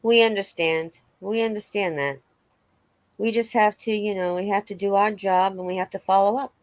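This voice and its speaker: A woman reading the part of a calm, reassuring law officer in a dramatic reading of a witness interview. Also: mid-range voice, normal speed, dry recording, natural voice. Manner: matter-of-fact, reassuring.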